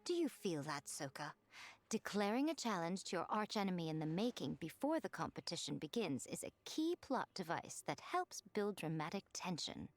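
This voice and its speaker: A woman's voice: lowish voice, sing-song, normal speed. A woman playing a poised, teasing, self-assured chief editor in a video game, speaking in elegant phrases. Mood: sly delight. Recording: music behind the voice.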